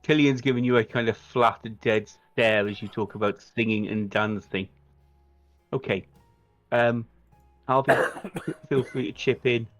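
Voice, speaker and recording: A man narrating a tabletop roleplaying game as game master, in a measured, halting way, recorded a little lo-fi. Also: medium pitch, steady tone, no echo. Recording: dull lo-fi mic, music underneath. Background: background music.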